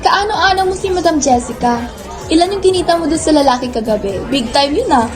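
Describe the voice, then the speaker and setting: A woman's voice: high-pitched, lively intonation, a little fast. A woman playing a jaded bar worker in a radio drama, talking in a taunting, dismissive way. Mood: mocking. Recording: music and chatter behind the voice.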